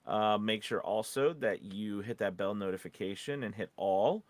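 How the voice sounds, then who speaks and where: Low-voiced man hosting casually. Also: normal speed, dry recording. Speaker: host. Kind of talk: casual livestream co-hosting chat.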